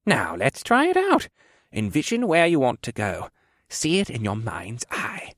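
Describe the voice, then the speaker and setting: Man voicing a spry, high-pitched old quartermaster. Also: wildly swooping pitch, unhurried, a bit bright, dry recording, studio quality, heavily acted. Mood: coaxing. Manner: chuckling, instructive. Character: frail, spry old male quartermaster potion-maker, amused and patient. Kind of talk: audiobook character dialogue.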